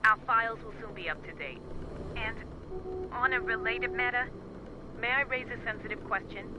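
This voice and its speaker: A man's voice: extremely high pitch, normal speed, background noise. A man voicing a cold, polite agency official in a video game, speaking formally with a veiled threat. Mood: coolly threatening. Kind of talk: game voice acting. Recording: muffled telephone line.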